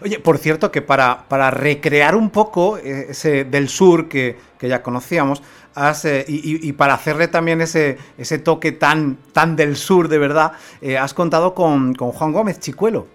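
A man's voice: a little high, moderate pace, fairly bright tone. A male radio host introducing a guest and asking questions, rambling with many restarts and fillers. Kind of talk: radio music interview.

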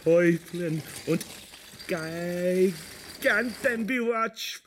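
An actor playing a brooding radio announcer of hell in an experimental radio drama, speaking gravelly, halting fragments that switch between German and English. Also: a little high, a little hiss or hum. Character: brooding bilingual male announcer of a radio inferno.

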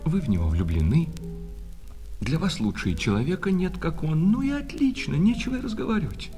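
A man's voice: on the high side, very animated, fairly quick, warm tone. A man playing an obsequious, wounded male shop clerk in a radio play, switching between servile sales patter and bitter reproach. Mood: jealous, reproachful. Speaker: actor. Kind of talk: radio play acting of a classic short story. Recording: reverberant hall, music behind.

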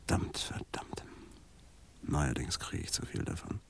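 An actor playing a weary, terse, introspective police adjutant in a radio drama, prone to muttering. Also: male voice, unhurried, in a whisper, natural voice. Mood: troubled, muttering.

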